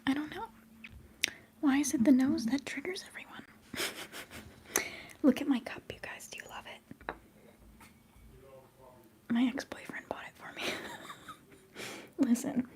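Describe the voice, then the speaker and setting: A young woman whispering softly and chattily during a live ASMR stream, giggling now and then. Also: high-pitched, vocal fry, a little hiss or hum. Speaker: livestream host.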